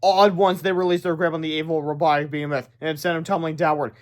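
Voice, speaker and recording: A man reading a children's book aloud as storyteller, animated, with casual asides and stumbles over words. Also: a little high, quick pace.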